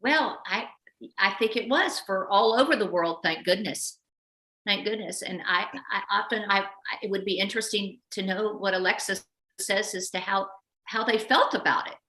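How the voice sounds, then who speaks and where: A woman hosting a casual interview, speaking haltingly and repeating words as she thinks. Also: mid-range voice, sharp tone, echoey room. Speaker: host.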